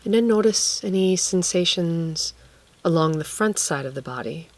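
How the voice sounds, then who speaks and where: A woman guiding a relaxation meditation, speaking gently and leaving long pauses between phrases. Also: lowish voice, lively intonation, no echo. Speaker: guided meditation teacher.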